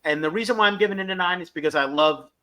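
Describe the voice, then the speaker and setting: Guy on a fan review podcast, super bright forceful voice, into it and full of opinions. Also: sits high, very fast. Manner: enthusiastic, opinionated. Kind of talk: casual fan review discussion.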